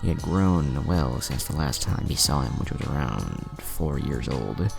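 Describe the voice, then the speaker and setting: Male story narrator, extremely low and creaky, scripted read over clear background music. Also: unhurried. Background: music under the voice.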